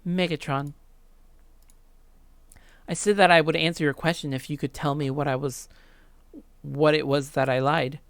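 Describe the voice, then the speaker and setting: A let's player voicing a calm, condescending schoolgirl killer from a visual novel, composed and lecturing. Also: medium pitch, moderate pace, long pauses, smooth, no room sound, pitched below the performer's own voice, lightly acted. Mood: cool, condescending.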